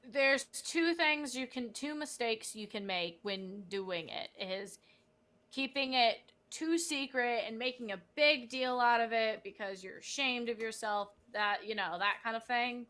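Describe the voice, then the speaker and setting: A woman's voice: on the high side, unhurried, pushed and bright. A young woman from the United States hosting a livestream, chatting in a playful, rambling way. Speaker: livestream host. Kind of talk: casual livestream chat.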